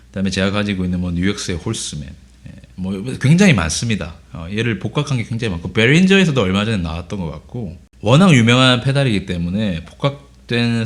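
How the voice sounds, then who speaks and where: A man speaks in a somewhat low register, his voice hoarse from a cold. He explains guitar pedals in an unhurried, conversational way, pausing often with filler sounds as he thinks. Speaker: guitar teacher. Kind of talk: casual gear demo lesson.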